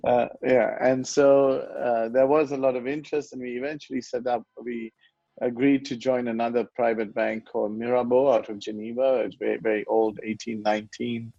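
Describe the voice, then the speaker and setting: A gravelly-voiced man in his forties from Sri Lanka, speaking as an interview guest. He rambles and corrects himself as he goes. The audio is dry and a bit dull. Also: medium pitch, little pitch movement, moderate pace, mellow, no echo. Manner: rambling, self-correcting, chuckles often. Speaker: guest, wealth management founder. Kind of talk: conversational interview.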